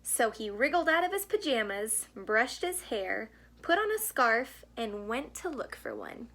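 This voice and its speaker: A woman reading a picture book aloud to children in an expressive storytelling style. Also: a little high, unhurried. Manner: asks the young listeners questions. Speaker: storybook reader.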